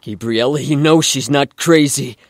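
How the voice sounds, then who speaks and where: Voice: medium pitch, moderate pace, dry recording, clean studio sound, natural voice. An actor playing an earnest, kind and protective young man in an audio drama, speaking with emphasis. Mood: wary, indignant.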